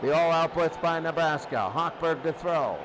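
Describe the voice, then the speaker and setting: Excitable guy calling football play by play, pretty high voice, lots of noise on it. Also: normal speed. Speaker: play-by-play announcer. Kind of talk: live American football commentary. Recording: clearly noisy recording.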